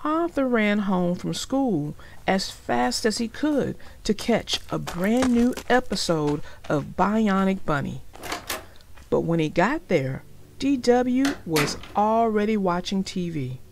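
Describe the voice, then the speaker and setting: Slow, animated woman reading a storybook. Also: mid-range voice, gravelly, a little hiss or hum. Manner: unhurried, storytelling delivery. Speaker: children's story narrator. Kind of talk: expressive scripted read-aloud of a storybook.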